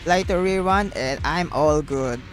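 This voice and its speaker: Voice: mid-range voice, unhurried, faint music behind. A streamer chatting casually on a livestream in a rambling way, often repeating phrases.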